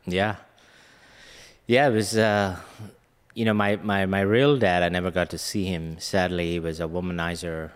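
A man's voice: sits low, steady tone, moderate pace, clean tone, long reverb tail. A man in his late thirties, born in India and raised in Ghana, earnestly telling his life story as a podcast guest. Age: late 30s. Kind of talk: casual interview storytelling.

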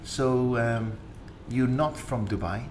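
A man's voice: mid-range voice, slow, darkish tone, slight background noise. A man hosting an interview podcast, chatty and cutting in with short questions. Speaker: podcast host.

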